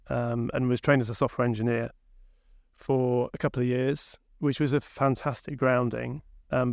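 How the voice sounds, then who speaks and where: A man in his fifties with a broad Sheffield accent, a company chief executive answering interview questions in a relaxed, reflective way. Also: medium pitch, gravelly, no echo, clean studio sound. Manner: relaxed and reflective, with many fillers. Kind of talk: conversational interview.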